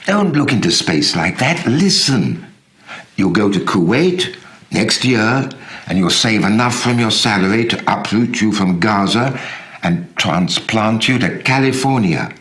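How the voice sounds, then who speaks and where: Older man voicing an urgent, pleading young friend, raised pitch. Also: expressive, a little slow, fairly bright tone. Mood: urgent, insistent. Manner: pressing, emphatic commands.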